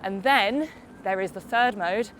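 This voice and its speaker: A woman's voice: medium pitch, unhurried. Woman, congested, upbeat, talking while cycling outdoors, light traffic noise. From United Kingdom. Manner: chatty, upbeat, explains as she rides. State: congested from a summer cold. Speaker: e-bike reviewer. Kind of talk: casual video review while cycling. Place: outdoors on a bike on roads and hills.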